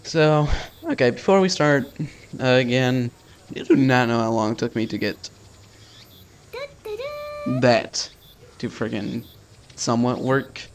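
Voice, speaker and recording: Gravelly-voiced man doing a casual let's play. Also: medium pitch, measured pace, pauses often. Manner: informal, slangy, offhand. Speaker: video game streamer doing a let's play. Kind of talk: casual let's play, reading visual novel text aloud.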